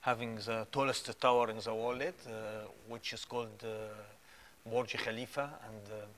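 Man in his 40s, crisp bright tone, halting phrasing, lapel mic, faint hiss behind. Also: on the low side, level tone. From Egypt. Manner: hesitant, many "uh" fillers, non-native phrasing. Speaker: guest. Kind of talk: testimony interview. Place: small studio set with plants.

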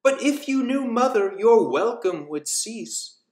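A man's voice: lively intonation, slow pace, darkish tone, exaggerated voice. A man voicing a timid, sulky little girl from a storybook, plaintive and dramatic. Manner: plaintive, high-pitched pleading. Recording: roomy echo.

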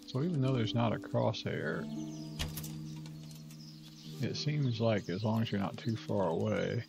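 A middle-aged man giving casual gameplay commentary, musing aloud in a low-key way. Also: measured pace, creaky, music in the background. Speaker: gaming streamer. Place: indoor room, webcam setup.

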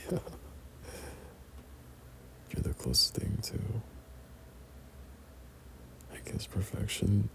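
A young man playing an affectionate boyfriend in intimate ASMR roleplay, breathy and half-whispering, speaking hesitantly. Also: low-pitched, background noise, full character voice. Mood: sincere, loving. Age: young adult. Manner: slow, hesitant, soft chuckles between phrases. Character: tender, affectionate young boyfriend talking to his partner. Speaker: audio roleplay performer.

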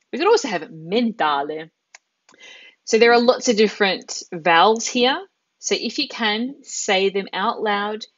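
A woman's voice: mid-range voice, a bit bright, no echo. Australian woman in her thirties, upbeat online language teacher on a headset, dry, dull stream sound. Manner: encouraging, chatty, drawn-out careful pronunciation. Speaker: Italian teacher. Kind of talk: live online lesson.

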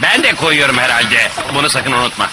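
A man's voice: medium pitch, very animated, fairly quick, close to an everyday voice. A man voicing an irritable, sarcastic, bossy pirate captain in a cartoon dub, full of exasperated bluster. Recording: clearly noisy cartoon soundtrack.

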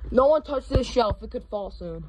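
A speaker with a Minnesota accent giving chatty, nervously excited running commentary while crawling through a narrow cave, filmed on a handheld phone. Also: mid-range voice, moderate pace. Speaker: cave explorer filming. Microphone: handheld phone camera. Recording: muffled phone audio, loud noise.

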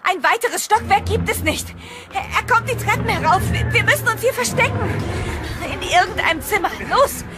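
A woman's voice: very high voice, lively intonation, moderate pace, crowd noise. A woman playing a frightened, urgent young woman fleeing danger in a German horror radio drama. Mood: panicked. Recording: music under the dialogue.

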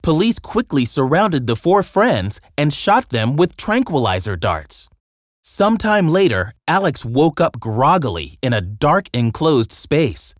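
A storybook narrator reading a scripted children's tale in an expressive, dramatic way. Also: medium pitch, unhurried, dry recording, studio quality.

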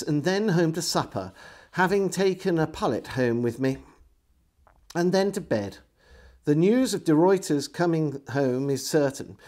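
A middle-aged man reading a historical diary aloud as a storyteller, in a deliberate, theatrical way. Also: on the high side, a little mellow. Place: indoors, furnished room with bookshelves.

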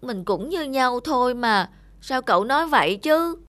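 Meek, humble stone bowl. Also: female voice, sits high, lively intonation, normal speed, fairly bright tone, no echo. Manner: meek, mild. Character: humble, good-natured, clumsy plain stone bowl. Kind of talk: animated cartoon character acting. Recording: music behind the voice.

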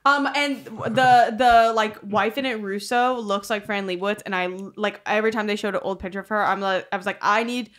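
A woman's voice: mid-range voice, steady tone, fairly quick, few pauses, fairly bright tone. A young woman co-hosting a podcast in a small room, chatting casually with lots of fillers and swearing, into a desk microphone. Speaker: podcast co-host. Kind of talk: casual chat. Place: small room, seated on chairs. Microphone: studio mic on desk stand.

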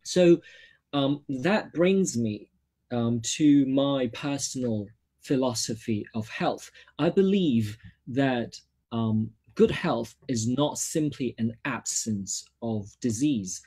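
Hesitant presenter from Hong Kong, thirties, mid-pitched, full of ums and restarts. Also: male voice, lively intonation, slow, a little mellow, breathy edge. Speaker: nutritionist presenter. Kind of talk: self-introduction at a product launch.

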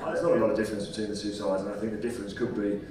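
A man's voice: lowish voice, flat delivery, racing. A man on stage as panel guest, giving a match preview with earnest then jokey remarks. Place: large hall with crowd. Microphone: handheld mic. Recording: roomy hall, distant, crowd noise.

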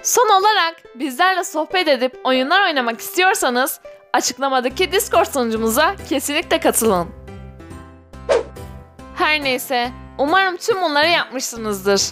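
A woman's voice: sits high, lively intonation, unhurried, a bit bright. A woman reading an enthusiastic scripted video narration, speaking directly to viewers. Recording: voice-over with music underneath. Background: background music.

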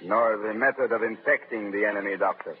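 A man's voice: on the low side, brisk, close to an everyday voice. An actor playing a cautious male military dictator with a clipped, authoritative manner, from a tinny 1930s radio broadcast. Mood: stern.

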